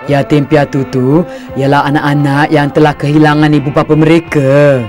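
A man's voice: a little high, flat delivery, normal speed, a little mellow, soft background music, lightly acted. A man with a Singaporean Malay accent voicing a caring, moralising mother in a cartoon, speaking instructively. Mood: gently admonishing. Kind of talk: cartoon dialogue.

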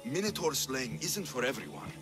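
A male actor plays a wry, blunt mercenary adventurer in an ancient Greek video game. His pitch is very mobile, carrying dry humour and a readiness to help. Music plays clearly behind the voice. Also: lively intonation, normal speed, natural voice. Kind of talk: video game character acting.

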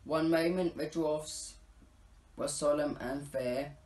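A teenage male narrator reading a rhyming storybook script aloud, a little haltingly. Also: a little high, steady tone, a little mellow, soft background music. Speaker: narrator of a Scouts pantomime. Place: living room at home, indoors. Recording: roomy echo, faint background noise.

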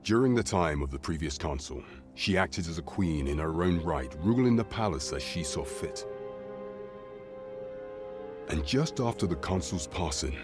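A man playing a solemn, deferential royal informant in a fantasy game, giving measured, formal archaic exposition. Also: sits low, lively intonation. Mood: grave, confiding. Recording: music behind the voice.

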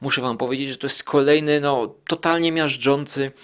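Hesitant, enthusiastic male podcast host, medium pitch. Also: steady tone, moderate pace, a bit bright, smooth. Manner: hesitant, searching for words, enthusiastic. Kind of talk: casual review commentary.

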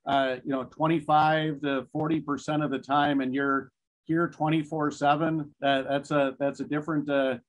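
A middle-aged company executive speaking evenly as a guest on a casual video-call interview. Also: male voice, on the high side, level tone, normal speed. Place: office room with a wall map, indoors. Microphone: video call webcam.

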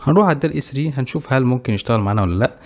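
A young man with an Egyptian Arabic accent who hosts a tech channel. He briskly talks viewers through a website, explaining each step and click as he goes. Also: medium pitch, lively intonation, a little mellow. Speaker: tech tutorial host. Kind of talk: screen-recorded software tutorial.

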